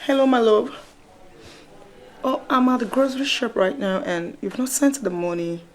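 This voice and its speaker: A woman's voice: fairly high pitch, normal speed, natural voice, low-quality recording. A woman playing a wheedling young girlfriend with a Nigerian accent, coaxing with endearments on a call. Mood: sweetly demanding. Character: wheedling young girlfriend conning lovers for money. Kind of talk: acted comedy-drama phone call. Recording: clear background ambient noise.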